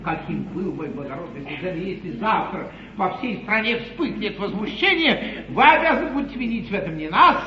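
An actor playing an eloquent, ironic English lord in a classical 1950s stage comedy, declaiming grandly. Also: male voice, high-pitched, animated, moderate pace, few pauses. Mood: declamatory indignation. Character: eloquent, theatrical English lord, an opposition politician. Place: theatre stage. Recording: dull 1950s live theatre recording, echoey hall, hiss.

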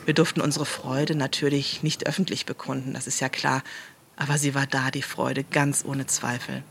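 An actor playing a smug, cynical official in a satirical German radio play, explaining things in a smooth, matter-of-fact, callous way. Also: medium pitch, level tone, moderate pace, fairly bright tone, a little hiss or hum. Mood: gleeful, discreet. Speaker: guide and official showing visitors around.

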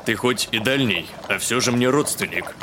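An actor playing a pompous, fussy educated gentleman in an audio drama. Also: medium pitch, normal speed, background noise, natural voice. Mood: lecturing. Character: haughty, irritable educated liberal gentleman landowner.

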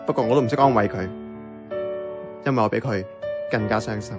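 A man's voice: fairly low pitch, fairly quick, close to an everyday voice. An actor playing an earnest, grieving young male heir in a Hong Kong Cantonese radio drama, speaking a reflective inner monologue. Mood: sorrowful, reflective. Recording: music under the voice.

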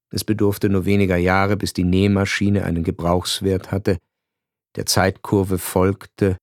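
Male narrator for a literary radio drama, low-set voice, dry studio read, measured and ironic. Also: vocal fry, a little mellow, no echo. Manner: measured, ironic storytelling with deliberate pauses.

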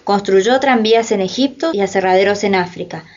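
A woman's voice: medium pitch, brisk, a little mellow. A woman delivering scripted narration for a radio drama. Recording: slightly dull lo-fi sound.

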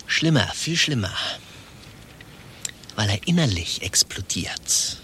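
Sharp, pompous office boss. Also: male voice, a little high, sing-song, normal speed, a little hiss or hum. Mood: grave, contemptuous. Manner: pompous, biting, exasperated. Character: pompous, condescending male office administration chief. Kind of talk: satirical radio play acting.

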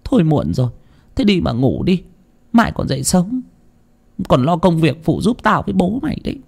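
Man voicing high-pitched chiding village mother. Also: big pitch swings, moderate pace, vocal fry, a little mellow, husky, exaggerated voice. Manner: chiding, practical. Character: brisk, bossy village mother, middle-aged woman.

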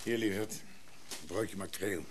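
An actor playing a brusque, defensive middle-aged shopkeeper, curt and dry, in a naturalistic radio play. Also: male voice, low-pitched, takes it slowly, slight background noise. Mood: curt, irritated.

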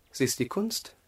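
Slow-spoken, sardonic young prince. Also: male voice. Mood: sly, mocking. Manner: deliberate, brooding, mocking. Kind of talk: radio play monologue.

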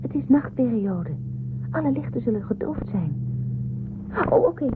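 Actress as cautious, questioning young woman in space, radio drama, higher voice, narrow-band audio over loud noise. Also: expressive, normal speed, close to an everyday voice. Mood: sceptical. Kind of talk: science-fiction radio drama acting.

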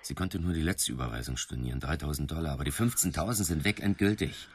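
An actor in a radio drama playing a blunt, sardonic friend with a gravelly, husky voice. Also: male voice, extremely low pitch, quick pace. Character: blunt, scolding male friend, world-weary and sardonic. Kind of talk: naturalistic radio drama acting.

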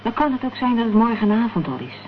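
A woman's voice: fairly high pitch, sing-song, fast. A woman acting a concerned, questioning companion in a Dutch radio crime drama. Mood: puzzled, concerned. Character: worried, inquisitive female companion in a crime thriller. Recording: dull, noisy old radio play recording.

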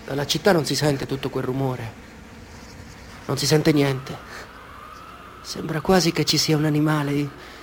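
An actor in a radio play playing a crude, menacing male stranger who taunts with quiet brooding. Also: on the high side, level tone, measured pace, long pauses, slight background noise, close to an everyday voice.

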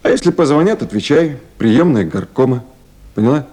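An actor playing a busy, attentive and kindly duty officer in a 1970s Soviet radio play. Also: male voice, mid-range voice, expressive, normal speed, mellow, natural voice. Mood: gentle, instructing.

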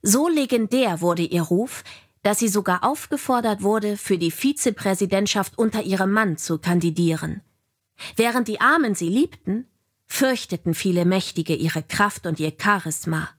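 Mid-pitched woman reading storybook narration. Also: lively intonation, moderate pace. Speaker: audiobook narrator.

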